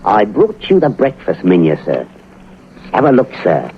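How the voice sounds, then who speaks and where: A man's voice: on the low side, wildly swooping pitch, moderate pace. A man acting a polite, discreetly conspiratorial hotel waiter in a 1940s radio drama. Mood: deferential, discreet. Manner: polite, servile, hesitant. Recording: muffled old radio recording, faint noise.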